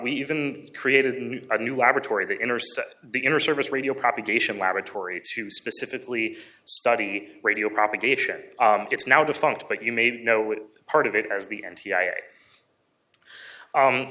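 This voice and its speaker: A man giving an informative book presentation in a halting way, often correcting himself mid-sentence. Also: on the low side, pushed and bright, rough recording.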